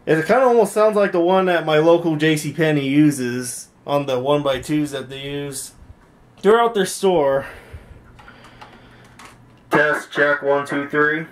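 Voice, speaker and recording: A man's voice: on the high side, unhurried. A man hosting a hobby tech vlog, casually narrating a tour from behind a handheld camera in a small room at home. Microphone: handheld camera held by the speaker.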